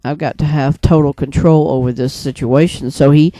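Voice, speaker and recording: A man casually recounting a dream in the first person, hesitant and rambling, often pausing mid-sentence. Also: fairly high pitch, steady tone, warm tone, no room sound.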